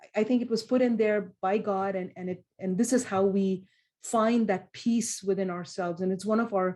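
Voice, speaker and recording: A woman speaking as a scholar on a panel, in a thoughtful, halting, reflective manner. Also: mid-range voice, fairly even intonation, a little mellow. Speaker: panellist, Islamic studies scholar.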